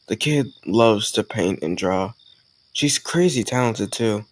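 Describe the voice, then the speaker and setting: A man playing an easygoing male college student who chats casually and teases gently in an intimate romantic audio roleplay. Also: lowish voice, expressive, slow pace. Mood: casual, open. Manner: casual, conversational, gently teasing.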